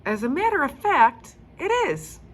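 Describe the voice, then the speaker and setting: A woman's voice: high voice, sing-song, a little slow, voice pushed up. A woman reading a storybook in the part of a helpful, matter-of-fact female museum guide. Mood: helpful, informative.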